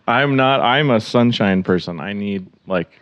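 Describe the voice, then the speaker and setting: A man's voice: fairly low pitch, takes it slowly, no echo, highs cut off. A man hosting a podcast, chatting in a friendly way with frequent fillers. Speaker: podcast host. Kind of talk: casual interview chat. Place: home in Oregon.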